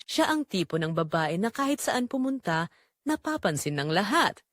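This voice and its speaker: A woman playing a betrayed young wife in an audio drama, narrating her heartbreak in earnest, measured, confessional phrases. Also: medium pitch, big pitch swings, dry recording, studio quality, close to an everyday voice. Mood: wounded, reflective. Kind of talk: scripted first-person dramatic narration.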